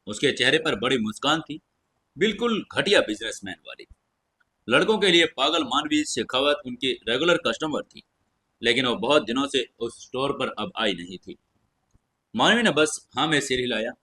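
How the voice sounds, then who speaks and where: Forceful, husky male story narrator. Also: mid-range voice. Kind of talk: scripted dramatic storytelling narration.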